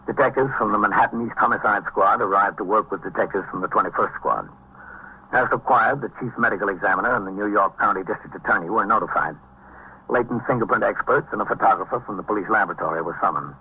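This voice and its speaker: An actor playing a calm, methodical police captain in a vintage radio drama, dry and matter-of-fact, with clipped procedural delivery. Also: male voice, lowish voice, fast, breathy edge. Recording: dull, noisy vintage radio recording.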